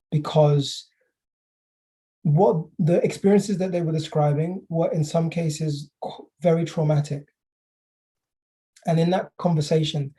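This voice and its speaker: A man giving a measured, thoughtful contribution with pauses in an online council meeting over a video call. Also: on the high side, dark tone. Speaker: meeting participant.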